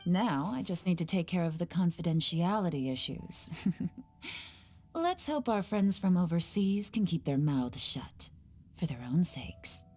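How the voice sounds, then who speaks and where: A woman's voice: fairly low pitch, lively intonation, measured pace. A woman voicing a composed, teasing female spy with a wry, slightly menacing manner in a game cutscene. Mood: sly. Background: game music.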